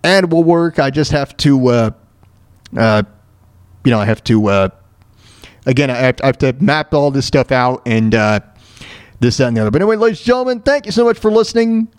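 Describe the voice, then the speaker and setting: A man hosting a show, talking casually and informally in a halting, repetitive, rambling way. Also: medium pitch, expressive, smooth, dry recording, studio quality. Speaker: show host.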